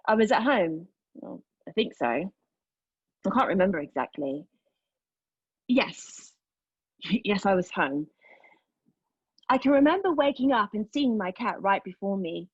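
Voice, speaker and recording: A haunted young woman played by an actress delivers an intimate theatrical monologue in a husky voice. Her delivery is halting and intense, moving between hushed confession and sharper emphasis. The sound is close and dry, though a little dull and lo-fi. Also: mid-range voice, no room sound. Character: haunted young woman recounting a painful memory. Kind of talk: dramatic monologue read at a table read of a play.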